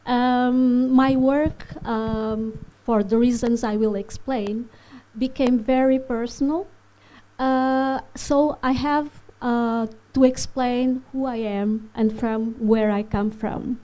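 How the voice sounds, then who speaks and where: Slow, hesitant woman from Portugal. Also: on the high side, fairly even intonation, dark tone, low-quality recording. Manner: hesitant, many fillers, non-native phrasing. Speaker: animation filmmaker giving a masterclass. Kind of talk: presentation to a live audience. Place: festival hall.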